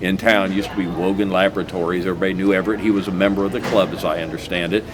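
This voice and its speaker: A man's voice: low-pitched, fairly even intonation, racing, a crowd in the background. A man hosting a casual local interview, rambling a little with frequent "uh"s and restarts. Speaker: host.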